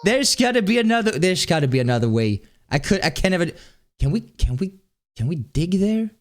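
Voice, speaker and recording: A man streaming a game, with a light, high-pitched voice, chatting casually and rambling with lots of laughs. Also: a little fast. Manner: animated, rambling, laughs often. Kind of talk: casual live-stream chat while playing. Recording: music playing underneath. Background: game music.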